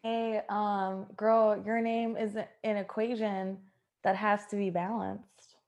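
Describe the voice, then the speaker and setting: A woman's voice: medium pitch, level tone, slow pace, darkish tone, dull audio. A woman playing an awkward, earnest guy who flirts with pickup lines, tentative and sheepish in improvised comedy. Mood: awkward flirting.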